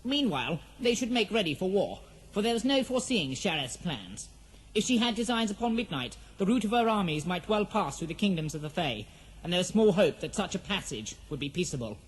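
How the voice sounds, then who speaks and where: A man's voice: sits high, breathy edge, full character voice, poor audio. A man playing the storyteller of an epic fantasy audio drama, giving formal, dramatic scripted narration.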